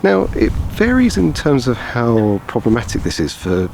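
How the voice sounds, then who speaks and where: A man's voice: medium pitch, wildly swooping pitch, normal speed, vocal fry, a little mellow. A man in his thirties explaining photography technique on an outdoor walk, sounding a bit tired, gesturing as he talks. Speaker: wildlife photographer. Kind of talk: outdoor vlog tutorial. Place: outdoors on a grassy path by wetland. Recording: outdoor noise behind the voice. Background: chainsaws and traffic in the distance.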